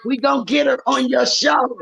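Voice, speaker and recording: A woman in her forties with a raspy, worn voice, telling stories loudly and with animation in a casual live-stream chat. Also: high voice, normal speed. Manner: loud, animated storytelling. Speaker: guest rapper. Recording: dull lo-fi stream audio.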